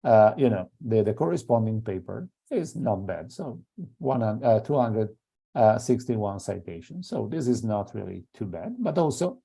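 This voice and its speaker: A man's voice: fairly low pitch, big pitch swings, measured pace, gravelly, dark tone, slightly rough. A middle-aged man with an Italian accent giving an academic seminar talk, hesitant, with many fillers and restarts. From Italy. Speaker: invited seminar speaker.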